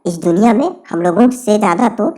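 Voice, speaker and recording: A woman voicing a frightened, grumbling dwarf in a Hindi cartoon, with halting, stammering speech. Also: medium pitch, noisy background, close to an everyday voice. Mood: indignant. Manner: rustic, pleading, repeats phrases.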